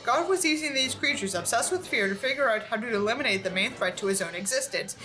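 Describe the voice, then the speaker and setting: A woman with a bright, forceful and fairly high voice reads a story aloud. Her delivery is expressive and sarcastic, with an exasperated edge, and music plays underneath. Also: fast. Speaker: book reviewer reading aloud with commentary. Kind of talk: audiobook-like reading with sarcastic asides.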